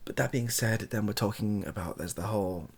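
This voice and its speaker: Soft, husky, creaky British man. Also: on the low side, fairly quick. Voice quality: soft-spoken. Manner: hesitant, thinking aloud, self-correcting. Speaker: video host. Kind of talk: softly spoken improvised monologue.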